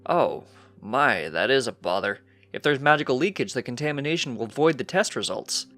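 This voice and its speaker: A man's voice: medium pitch, lively intonation, normal speed, a bit bright, no echo, pitched above the performer's own voice. Guy doing a distracted unicorn scientist husband, breezy and cheerful, storybook character, music under it.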